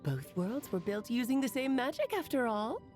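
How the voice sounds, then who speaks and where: A theatrical storyteller mage. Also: female voice, a little high, very animated, moderate pace, darkish tone. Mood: storytelling. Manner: dramatic, storybook flourishes, teasing. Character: grand, theatrical female mage and storyteller, playful. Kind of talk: video game dialogue. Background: game music.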